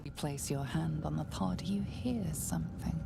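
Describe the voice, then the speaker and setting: An actress voicing the narrator of a video game, describing events in a hushed, measured, ominous way. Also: female voice, sits low, creaky, heavy background noise. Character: omniscient female game narrator, low and ominous. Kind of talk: second-person game narration. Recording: loud music behind.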